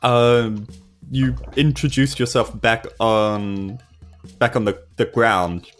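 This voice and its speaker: A man's voice: mid-range voice, steady tone, takes it slowly, faint music behind, lightly acted. A man with a New Zealand accent playing a polite, exasperated human adventurer in a tabletop game, hesitant and pleading. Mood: awkward. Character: weary, polite, awkward human male adventurer. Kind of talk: improvised tabletop roleplay.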